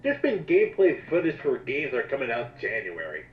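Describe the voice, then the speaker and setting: A man co-hosting a casual commentary chat with sarcastic, animated joking banter. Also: mid-range voice, fairly quick. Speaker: commentary co-host. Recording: echoey, dull lo-fi mic with noise.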